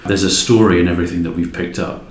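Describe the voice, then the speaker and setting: A man's voice: deep voice, fairly even intonation, fast, slight background noise. A man chatting casually as a podcast guest, enthusiastic and emphatic. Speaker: podcast guest, drama creator. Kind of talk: casual chat. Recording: echoey room with noticeable background ambience.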